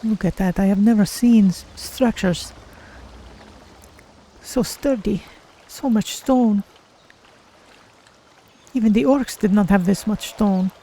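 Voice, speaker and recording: Performer as curious, polite feline heroine for fantasy game roleplay; soft mellow tone, measured formal delivery. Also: mid-range voice. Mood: wondering. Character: earnest female Khajiit cat-folk adventurer, curious, polite. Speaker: game streamer. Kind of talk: in-character game roleplay narration.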